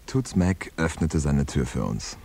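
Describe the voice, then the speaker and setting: An actor playing a laconic, world-weary hard-boiled man in a radio crime play, understated and deadpan. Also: extremely low pitch, measured pace, vocal fry, over music, exaggerated voice. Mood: deadpan, reflective. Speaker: narrator and lead character in a radio crime play. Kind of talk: scripted hard-boiled first-person narration and dialogue.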